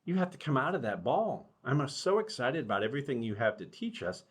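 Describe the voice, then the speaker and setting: A man voicing an excitable, fidgety young rabbit pupil with an eager manner in a storybook. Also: mid-range voice, a little fast, pitched above the performer's own voice, lightly acted. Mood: excited.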